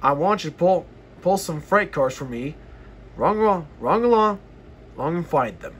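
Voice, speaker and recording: A man voicing a stern but kindly railway boss in a children's storybook, with measured, halting delivery. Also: a little high, animated, a little hiss or hum, natural voice.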